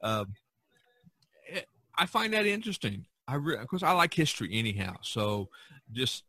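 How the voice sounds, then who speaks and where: A man's voice: mid-range voice, big pitch swings, moderate pace, bright, forceful tone. A middle-aged man hosting a podcast, chatting casually and ramblingly about art. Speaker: podcast host.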